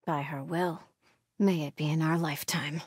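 An actress playing a devout, watchful cult sentry in a video game, speaking in a solemn, measured, pious way with a husky voice. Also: female voice, sits low, steady tone, noisy background, natural voice. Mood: solemn vigilance. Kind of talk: video game ambient dialogue.

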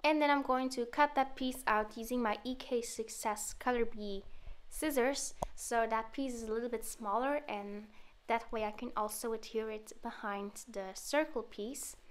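A woman's voice: a little high, normal speed. Woman with a cold narrating a craft tutorial. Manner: relaxed step-by-step explaining with fillers. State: has a cold, slightly sore throat. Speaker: card-making tutorial host.

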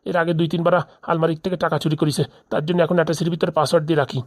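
A man's voice: on the high side, level tone, rapid-fire, darkish tone, no room sound, studio quality, lightly acted. A man voicing a grumbling, distrustful middle-aged father in a comic cartoon, with colloquial Bengali-accented rambling.

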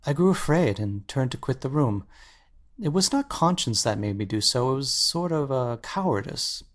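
An actor playing an earnest, anxious painter in a dramatic audiobook, speaking intensely and articulately. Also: male voice, mid-range voice, animated, normal speed, natural voice. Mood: fervent, troubled. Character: earnest, anxious male painter confessing his obsession.

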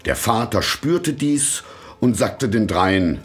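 A man narrating a fairy tale from a script in a measured, expressive storytelling manner. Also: lowish voice, faint music behind.

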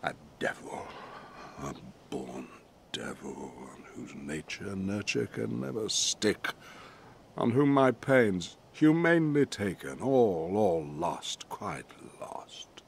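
Guy as brooding wizard, low gravelly voice, solemn revenge stuff, shakespeare audio play. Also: big pitch swings, takes it slowly, slight background noise, full character voice. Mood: bitter, brooding. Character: vengeful, brooding, commanding male sorcerer.

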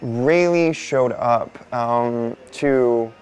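A non-binary speaker with a male-sounding voice in their twenties, from Barbados, answering interview questions in a thoughtful, unhurried way with many soft fillers. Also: mid-range voice. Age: 20s. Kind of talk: reflective personal interview. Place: indoor studio before a painted backdrop.

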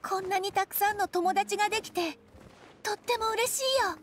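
A woman's voice: extremely high pitch, steady tone, normal speed, soft background music. A woman voicing a small, eager young male dragon in a video game, speaking in an earnest, childlike way. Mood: grateful. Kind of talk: Japanese game voice acting.

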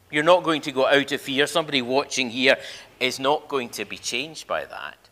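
An older man preaching as a minister, telling a story emphatically with dramatic pauses and repeated phrases in a large church. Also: mid-range voice, pushed and bright. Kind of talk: sermon, storytelling to a congregation. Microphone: lectern microphone. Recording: reverberant church hall.

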